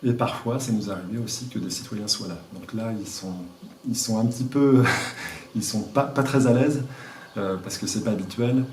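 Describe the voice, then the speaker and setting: A man answering interview questions as a village mayor, speaking informally and haltingly as he searches for words. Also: on the low side, darkish tone. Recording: echoey room, distant mic, faint noise.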